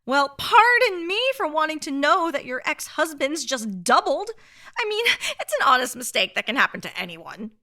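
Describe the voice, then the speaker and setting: Woman playing indignant twentysomething in a radio play, bright lively voice, prim and sarcastic, wordy. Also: high voice, normal speed, heavily acted. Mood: hurt, outraged. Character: indignant, wordy young female nursing-school dropout. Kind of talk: radio drama acting.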